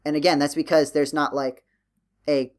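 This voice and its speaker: A man's voice: fairly high pitch, level tone, normal speed, smooth. A man hosting a casual podcast discussion, explaining his thoughts in a rambling, hesitant way. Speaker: podcast host.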